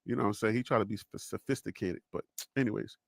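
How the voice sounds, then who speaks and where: A man's voice: lowish voice, steady tone, quick pace, vocal fry, no room sound, studio quality. A man with a Liberian accent hosting a solo sports livestream, joking and repeating himself as he talks to his chat. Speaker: sports show host.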